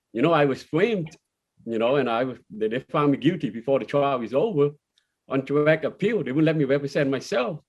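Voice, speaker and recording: A man born in Laos and raised in Iowa, telling his own story in plain, informal speech with loose grammar. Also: on the high side. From born in Laos, grew up in Iowa. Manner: plain-spoken, non-standard grammar. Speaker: interview guest, former prisoner. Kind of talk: conversational personal account.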